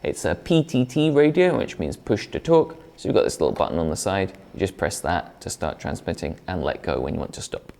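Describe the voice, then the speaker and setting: A man's voice: sits low, lively intonation, brisk, vocal fry, few pauses, a little mellow. A man presenting a product review in a conversational, explanatory way, partly scripted.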